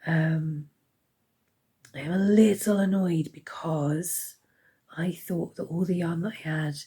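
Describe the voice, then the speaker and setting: Hoarse, croaky woman half-whispering. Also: on the low side, fairly even intonation, slow, dark tone. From United Kingdom. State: hoarse, losing her voice. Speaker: crochet vlogger. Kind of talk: casual daily update.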